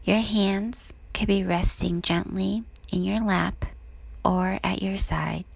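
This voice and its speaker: A woman with a soft, breathy voice guides a body scan meditation in a calm, gentle, unhurried manner. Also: medium pitch, no room sound.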